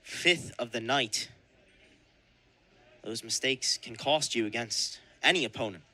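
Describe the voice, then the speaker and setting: Bright-voiced young male baseball announcer. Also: mid-range voice, measured pace. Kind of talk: live radio sports commentary.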